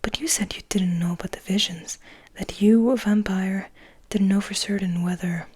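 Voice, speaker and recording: A woman voicing an earnest, curious young male interviewer in a tentative, breathy whisper, as in an audiobook. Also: mid-range voice, steady tone, normal speed, a little mellow, close to an everyday voice. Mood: hesitant, eager. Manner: questioning, tentative. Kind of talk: whispered ASMR audiobook reading.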